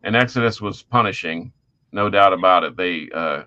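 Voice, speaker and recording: A middle-aged man with a lowish, forceful voice talks music as a podcast co-host. He is enthusiastic and slangy, in casual conversation. The audio is a little dull. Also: normal speed.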